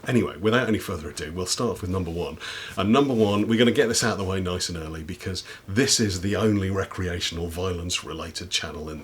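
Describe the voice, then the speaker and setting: A man from the UK, a YouTuber, talking casually to camera in a slightly husky voice after losing it, rambling and self-deprecating with lots of ums. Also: deep voice. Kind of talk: casual recommendation chat to camera. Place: indoors at home desk with big lights.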